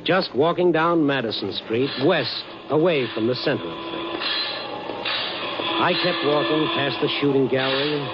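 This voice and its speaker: An actor playing a world-weary but compassionate newspaper reporter in a wry, hard-boiled 1950s radio drama style. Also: male voice, mid-range voice, animated, normal speed, noisy background, natural voice. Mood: wry, atmospheric. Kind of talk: 1950s radio drama acting, wry first-person narration. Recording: muffled vintage radio recording with music.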